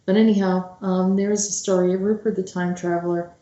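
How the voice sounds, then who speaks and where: A woman hosts with informal, rambling commentary in a dark, mellow voice. Her intonation stays quite even as she hesitates between thoughts. The recording has a noticeable room echo. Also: on the low side, fairly quick, lo-fi sound. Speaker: show host and author. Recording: roomy, echoing sound.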